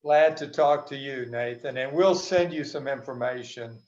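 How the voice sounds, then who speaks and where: A man's voice: medium pitch, normal speed, a little mellow. Man, from Texas, casual chat, roomy echo, dull lo-fi recording. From Houston, Texas, USA. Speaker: interview guest. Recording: roomy, dull lo-fi call audio.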